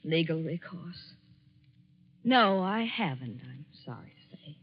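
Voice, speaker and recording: Despairing young woman, husky, guarded and curt, muffled 1940s radio drama. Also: low voice, animated, takes it slowly, full character voice. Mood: resigned. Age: young adult. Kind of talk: 1940s radio thriller acting.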